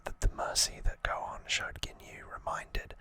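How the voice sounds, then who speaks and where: An ASMR host whispering a rambling recap in a breathy, halting voice, correcting slips along the way, close to the microphone. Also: pushed and bright, dry recording. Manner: hesitant, halting phrasing with self-corrections.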